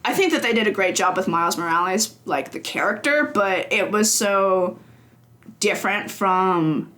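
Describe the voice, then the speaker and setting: A young woman talking as a games journalist guest in a casual podcast interview, chatty and animated, laughing often and acting out her own thoughts. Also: medium pitch, moderate pace.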